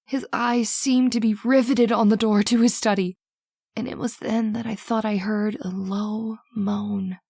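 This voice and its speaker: A woman's voice: on the high side, little pitch movement, a little slow, creaky, darkish tone, dry recording, studio quality. A woman playing an anxious, frightened daughter in an old-time radio horror drama, with dramatic, earnest delivery. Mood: tense recounting. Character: anxious, frightened daughter recounting her father's haunting.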